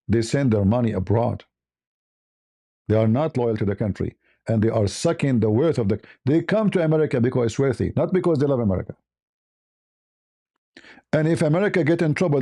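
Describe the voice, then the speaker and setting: A man's voice: mid-range voice, mellow. A man with an Arabic accent giving a blunt, off-the-cuff opinion monologue as a livestream host, repeating phrases for emphasis.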